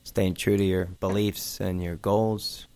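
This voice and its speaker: A man hosting an interview show, chatting casually and joking with quick asides. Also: low voice, flat delivery, mellow.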